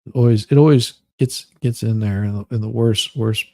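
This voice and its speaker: A man hosting a livestream, moving between casual chat and mock-dramatic readings with theatrical, drawn-out emphasis. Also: on the low side, dark tone, no echo, studio quality. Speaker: livestream host.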